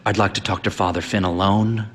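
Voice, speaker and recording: A man's voice: low voice, fairly even intonation, a little fast, a little hiss or hum, natural voice. A man reading a story aloud, voicing a sickly, pretentious young man who speaks in an affected, sullen mutter.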